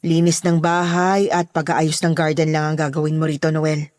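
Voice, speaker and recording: A woman's voice: low voice, flat delivery, moderate pace, a little mellow, close to an everyday voice. A woman with a Filipino accent playing a protective, bossy daughter running a household in a horror audio drama. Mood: matter-of-fact.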